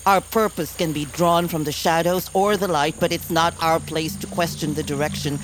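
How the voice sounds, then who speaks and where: Actress as a grave old bear grandmother, deep-toned. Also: fairly even intonation, noisy background, lightly acted. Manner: solemn, measured, oracular. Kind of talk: audio drama acting.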